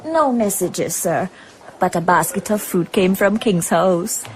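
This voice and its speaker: An actress playing a polite, deferential hotel receptionist in a radio drama, speaking formally. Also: female voice, mid-range voice, measured pace, slight background noise, lightly acted, rough recording. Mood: courteous.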